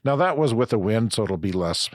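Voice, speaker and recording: Middle-aged podcast co-host, sick and raspy, low-pitched, meandering delivery, close dry mic. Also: male voice, animated, a little fast, no room sound. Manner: rambling, self-correcting explanations. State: raspy from flu. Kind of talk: casual chat.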